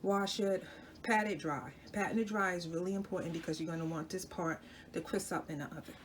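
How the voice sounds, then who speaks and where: A woman's voice: lowish voice, a little fast. A woman with a slightly stuffy nose, chatting her way through a home cooking demonstration and stopping now and then to correct herself. Dishes and utensils clink around her in a noisy kitchen recording. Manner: chatty, talks to viewers while working, self-correcting. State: stuffy from a cold. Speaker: cooking channel host.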